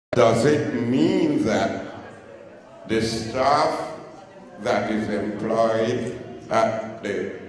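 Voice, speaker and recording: A man, jovial and chuckling as he puts questions to the head table at a public meeting. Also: medium pitch. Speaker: audience member asking questions. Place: large public meeting hall. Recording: echoey hall with long reverb.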